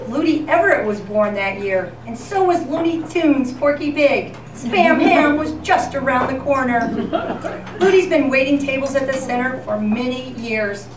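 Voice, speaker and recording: A woman co-hosting a live show, reading tongue-in-cheek scripted news in an old-time radio style. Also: high voice. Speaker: co-host of a live radio-themed tribute show. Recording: distant echoey live event, noisy.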